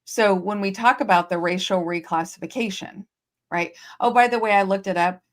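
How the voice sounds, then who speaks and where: Woman, host, livestream, emphatic, explanatory, mid pitch. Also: moderate pace. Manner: emphatic, explanatory, repeats key points. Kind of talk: livestream discussion. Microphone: streaming setup.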